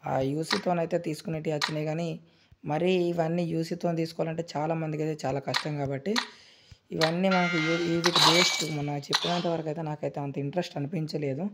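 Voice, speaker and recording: A man runs through a game tutorial at top speed, full of repetitive fillers. His voice sits at a middle pitch. The recording carries clear background noise. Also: little pitch movement. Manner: rapid, repetitive filler-heavy explaining. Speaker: gaming channel host.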